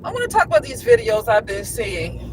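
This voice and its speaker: Very high-voiced man ranting outdoors. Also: wildly swooping pitch, brisk. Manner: repetitive, restarts often, rhetorical questions. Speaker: vlogger. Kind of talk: casual rambling monologue. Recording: noisy street traffic behind.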